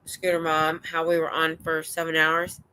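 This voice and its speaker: An older American woman hosting a livestream, chatting in a rambling, excitable way. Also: deep voice, normal speed, clean tone, low-quality recording. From United States. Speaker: livestream host. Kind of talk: casual chat. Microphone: streaming setup.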